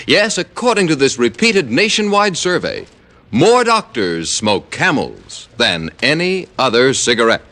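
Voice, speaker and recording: A man's voice: medium pitch, very animated, unhurried, pushed and bright. A man working as a radio announcer, reading scripted credits and advertisements in 1950s broadcast style.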